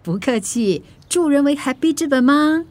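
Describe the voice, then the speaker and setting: A caring female friend in a radio drama, high in pitch with a Singaporean accent. She is helpful and reassuring, with a habit of gentle nagging. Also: expressive, normal speed, slight background noise. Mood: concerned, helpful. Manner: solicitous, reassuring.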